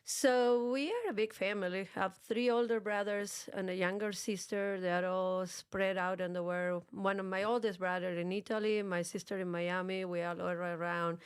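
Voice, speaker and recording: A woman's voice: mid-range voice, fairly even intonation, moderate pace, few pauses, smooth, no room sound. A woman from Argentina with a strong Argentine Spanish accent, Spanish as her first language, chatting casually as an interview guest and often repeating words. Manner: repeats words, laughs at herself. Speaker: guest, gym coach.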